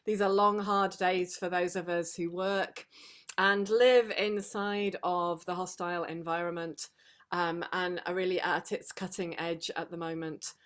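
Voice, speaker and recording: A hoarse, somewhat croaky middle-aged woman talks on a video call. Her voice sits a bit low, and she speaks earnestly and reflectively, with frequent ums and restarts. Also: steady tone, a little slow, a bit bright. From England, Sheffield. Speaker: guest speaker.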